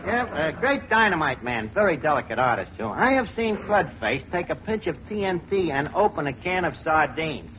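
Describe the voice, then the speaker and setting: An actor playing a brash, uneducated tavern manager who mangles fancy words pompously, in 1940s radio comedy style. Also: male voice, fairly high pitch, big pitch swings, moderate pace, few pauses. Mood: indignant, boastful. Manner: pompous malapropisms with hesitant uhs. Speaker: comedy lead. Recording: muffled, noisy vintage radio before live audience.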